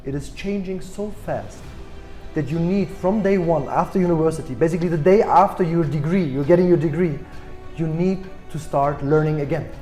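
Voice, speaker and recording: A man in his forties with a German accent, answering interview questions thoughtfully with many pauses and restarts. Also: fairly high pitch, mellow, reverberant, faint music behind. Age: 40s. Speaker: guest executive.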